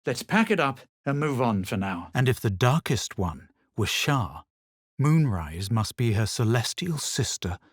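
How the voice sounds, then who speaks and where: An actor playing a proud, self-assured adventurer in a fantasy game, with measured, theatrical delivery and a husky voice. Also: male voice, medium pitch, very animated, no echo, studio quality. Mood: resolute, assured. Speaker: player character voice actor. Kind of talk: dramatic scripted fantasy game lines.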